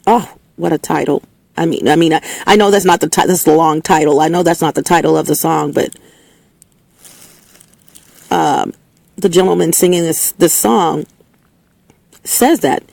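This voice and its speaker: Gravelly, emphatic woman host, slightly hoarse. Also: sits low, moderate pace, long pauses, a little mellow, no echo. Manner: emphatic, preachy, repeats herself with frequent restarts. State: slightly hoarse, voice lower than usual. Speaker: host of a spoken opinion segment. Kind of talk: casual opinionated monologue. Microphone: speaking into a recording setup with a level meter.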